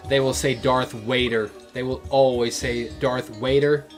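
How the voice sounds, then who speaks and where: A man's voice: mid-range voice, steady tone, measured pace, faint music behind. A man from Sweden with a Gothenburg accent, a streamer chatting casually and jokingly with his viewers while he plays. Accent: Swedish, Gothenburg. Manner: joking, chatty, slips into mock voices.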